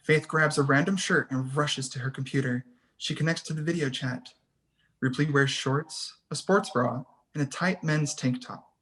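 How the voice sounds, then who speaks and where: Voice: medium pitch, moderate pace, fairly bright tone, low-quality recording. A narrator reading scene headings and action lines in a scripted table read. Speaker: stage directions narrator.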